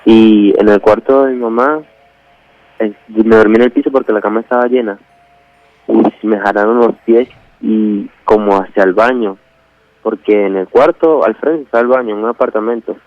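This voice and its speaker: A man's voice: lowish voice, moderate pace, no echo. Man on a dull phone line. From Venezuela. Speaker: caller to a radio show. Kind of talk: casual personal storytelling. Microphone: telephone. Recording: dull, dry phone call to radio.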